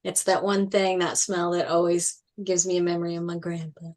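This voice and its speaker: A woman's voice: lowish voice, level tone, moderate pace, a little mellow. A woman in a casual group chat, informal and inquisitive.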